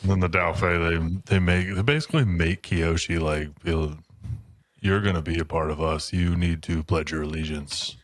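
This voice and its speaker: A man's voice: low-pitched, steady tone, moderate pace, dry recording. A man co-hosting a podcast in casual book chat, chiming in with blunt, sweary remarks. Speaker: podcast co-host.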